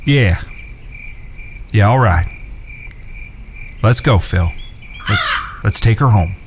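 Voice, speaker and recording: Man playing a lively-pitched, steady rancher. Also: creaky, background noise, exaggerated voice. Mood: gentle concern. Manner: folksy, measured, reassuring. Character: steady, protective Old West rancher, level-headed brother. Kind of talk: audio drama acting.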